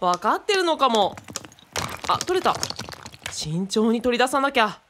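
A woman's voice: on the high side, big pitch swings, measured pace, background noise. A woman playing a friendly, knowledgeable adult companion in a radio drama, explaining casually and thinking aloud. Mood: thoughtful. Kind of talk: conversational radio drama acting.

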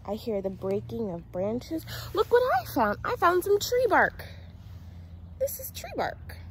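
A woman's voice: medium pitch, a little hiss or hum. A woman in her twenties talking to young children like a teacher on a nature walk, with simple sing-song phrases and questions, recorded outdoors on a handheld phone. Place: outdoors in a residential yard. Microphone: phone at arm's length.

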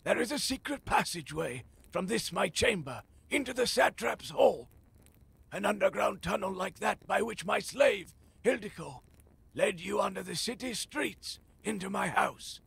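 An actor playing an old, grave and urgent male seer in a fantasy audio drama, with a raspy voice and a portentous, archaic delivery. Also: sits high, fairly bright tone, full character voice. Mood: commanding. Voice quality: raspy, creaky.

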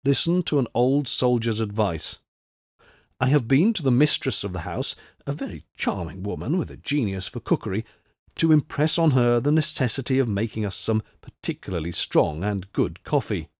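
A man voicing a boisterous, crafty old French ex-soldier in an audiobook, all exclamations and flattery. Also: medium pitch, a little slow, no echo, clean studio sound. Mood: confidential. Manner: blustering, exclamatory, drops into confidential tones. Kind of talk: audiobook character voice.

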